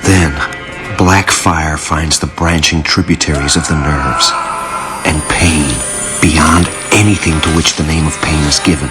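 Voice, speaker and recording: An actor playing a weary, guarded young hacker hustler in a radio drama, brooding and terse, with music behind him. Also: male voice, rumbling low, steady tone, measured pace. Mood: bleak, haunted. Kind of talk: radio drama acting with brooding first-person narration. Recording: loud music bed under the voice.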